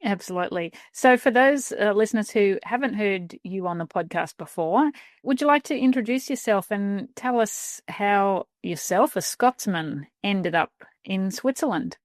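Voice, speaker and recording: A woman's voice: mid-range voice, lively intonation, normal speed, no echo, clean studio sound. A woman hosting a travel podcast, reading a scripted intro and then chatting with a guest. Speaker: podcast host.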